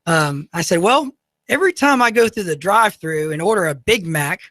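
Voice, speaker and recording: A man with a high, slightly goofy voice hosting local talk radio, talking to listeners directly in a folksy, salesy way. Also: expressive, normal speed, sharp tone, no echo, studio quality. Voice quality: high-pitched, goofy-sounding voice. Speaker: radio show host. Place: radio studio.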